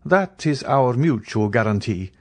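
Male narrator as aristocratic count, storybook villainous hauteur, mocking, grand declamation, raised swooping pitch. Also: expressive, a little slow, a little mellow. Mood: haughty, threatening. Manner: haughty, grandly declamatory, formal. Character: proud, theatrical aristocratic count, coldly mocking and menacing.

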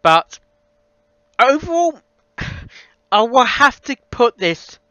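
High-pitched, halting young man. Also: sing-song, bright, forceful tone, clean tone, dry recording. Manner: halting, repeats himself. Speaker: reaction video host reviewing a fan audio reading. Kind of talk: casual spoken critique. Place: small room at a computer, indoors. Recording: dull, slightly lo-fi close recording.

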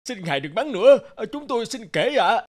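Dim giant robber for a cartoon: blustering coward, very animated, raised voice. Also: male voice, high-pitched, a little fast. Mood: scared, pleading. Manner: blustering, then cringing. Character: dim, cowardly male giant thieves, pleading. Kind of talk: cartoon acting.

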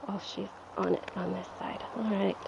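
A woman with a stuffy voice from a cold, calmly explaining her training as she rides in an outdoor arena. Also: medium pitch, moderate pace, creaky, background noise. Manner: calm, coaching, talks while riding. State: stuffy, getting over a cold. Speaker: dressage rider and trainer. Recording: dull lo-fi outdoor sound. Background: faint outdoor ambience and horse.

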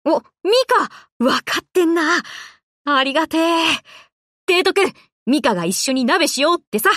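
Brash, high-pitched tomboy anime girl. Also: animated, unhurried, fairly bright tone, dry recording, studio quality. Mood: cheerful. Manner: rough boyish slang, cocky and casual. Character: brash, tomboyish young warship girl, lively and slangy. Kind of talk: Japanese anime game voice lines.

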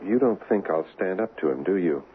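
An actor playing an exasperated, sardonic young fiancé in a radio drama, casual and grumbling. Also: male voice, low voice, lively intonation, brisk. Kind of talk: 1970s radio drama acting. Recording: tinny, noisy 1970s radio broadcast.

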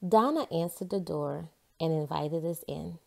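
A woman hosting a children's read-aloud, telling things in an expressive, deliberate storyteller's way. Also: sits low, creaky.

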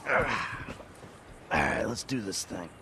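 An actor playing a weary, sarcastic middle-aged ex-robber in a video game cutscene, talking brusquely and swearing. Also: male voice, sits low, expressive, takes it slowly. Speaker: game character. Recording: game audio with clear engine noise. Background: traffic and vehicle noise.

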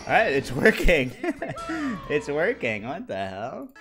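A man's voice: on the high side, wildly swooping pitch, unhurried, over music. Joking man streaming a speedrun. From India. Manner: joking, reacting to chat, sudden loud exclamations. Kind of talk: casual livestream chat while speedrunning. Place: small home room, indoors.

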